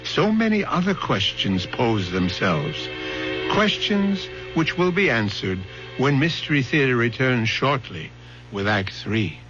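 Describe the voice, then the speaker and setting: A man hosting a radio mystery drama, narrating in a measured way and posing questions to the listener. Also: mid-range voice, big pitch swings. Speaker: host and narrator. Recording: dull lo-fi radio broadcast. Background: loud music.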